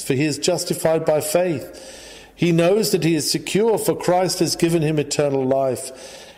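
A man reading an old sermon aloud in a measured, formal, earnest preaching manner. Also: mid-range voice. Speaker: reader of a historical sermon. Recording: long hall-like reverb.

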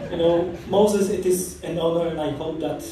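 A man's voice: a little high, steady tone, normal speed, heavy background noise. A priest in his forties from Poland with a Polish accent, preaching an informal, chatty homily full of anecdotes and laughs. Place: large church hall. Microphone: far camera across the church. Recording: echoey church from far off.

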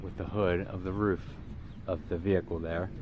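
A middle-aged man giving a casual, hands-on how-to in a halting way, recorded on a handheld camera outdoors by a vehicle. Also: low voice, little pitch movement, phone-quality sound. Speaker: CB radio hobbyist presenter. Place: outdoors in and beside a parked vehicle in an open field. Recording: clear background noise, outdoor ambience.

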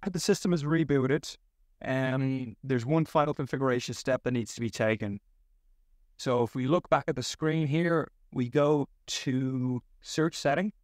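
A man giving a step-by-step software tutorial as a tech support instructor. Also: medium pitch, a little slow, no room sound, studio quality.

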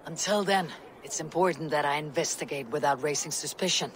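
An actress playing a stern, determined young Viking warrior woman in a video game, with a husky voice. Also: low-pitched, a little fast, slight background noise, natural voice. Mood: firm, secretive. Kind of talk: video game dialogue acting.

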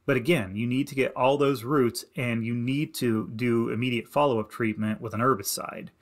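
A man narrating a slideshow lesson as an extension educator, giving plain, practical advice in a steady instructive way. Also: medium pitch, normal speed. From Indiana. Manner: plain, practical and advising, with many "you need to" instructions. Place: voice-over to slides.

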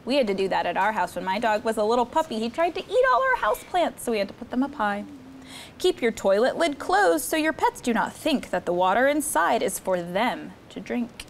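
A young woman hosting a children's story time, reading aloud in an expressive, sing-song way and chatting with the kids. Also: fairly high pitch, a little fast, vocal fry, a little hiss or hum. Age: young adult. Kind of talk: animated read-aloud to children, with chat. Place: indoor studio set with children seated on a rug.

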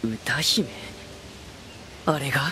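A man's voice: medium pitch, very slow, background noise. A man playing a polite, curious, boyish young android soldier in a Japanese game. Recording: loud music behind.

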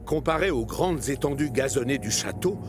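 A man narrating a children's cartoon story in an expressive storytelling manner. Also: mid-range voice, moderate pace.

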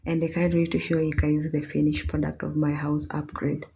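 A young woman with a Kenyan accent, talking in a low voice in a loose, friendly vlog chat, sprinkling Swahili words into her English. She speaks to a camera on a stand in a small apartment. The bare room rings with echo and a faint hiss sits underneath. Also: monotone, moderate pace, gravelly, dark tone, highs cut off. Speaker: home vlogger. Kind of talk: casual chatty vlog.

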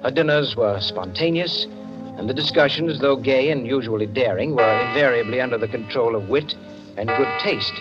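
Male actor as urbane mystery storyteller, 1940s radio narration. Deliberate and literate, dull aged recording, music underneath. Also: medium pitch, natural voice. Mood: composed, wry.

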